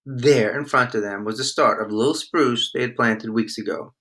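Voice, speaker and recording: Man, bright clear-cut tone, middle pitch, scripted storybook read-aloud, expressive. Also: moderate pace. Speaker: storybook reader.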